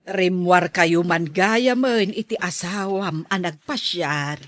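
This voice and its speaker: A woman's voice: on the low side, slow pace, dry recording. A woman acting a doting older mother, gossipy and watchful, in an Ilocano-accented radio drama. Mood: gossipy, teasing.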